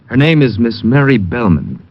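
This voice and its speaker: An actor playing a guarded, businesslike male attorney with a measured, evasive manner in a 1950s radio drama. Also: medium pitch, animated, slight background noise, close to an everyday voice. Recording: muffled old radio recording.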